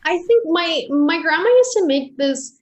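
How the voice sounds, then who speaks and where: A young woman from Peru chatting as an interview guest, talking freely with many restarts and quick laughs. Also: very high-pitched, lively intonation, highs cut off. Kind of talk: casual interview chat.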